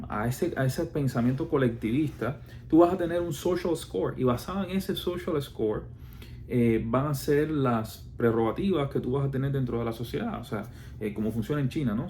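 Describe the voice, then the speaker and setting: A man with a Cuban Spanish accent talks in a relaxed, mellow voice, explaining his views in casual talk. He circles back often, stumbling over fillers, false starts and rhetorical questions. Faint background noise sits under the voice. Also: medium pitch. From Cuba. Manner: hesitant, many fillers and restarts, rhetorical questions.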